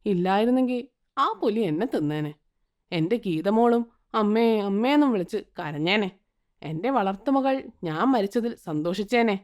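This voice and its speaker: Brief: cruel cartoon stepmother, goading and scornful, pitched lower, put on by a female narrator. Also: expressive, moderate pace, a little mellow, close to an everyday voice. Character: scheming cruel stepmother, middle-aged woman.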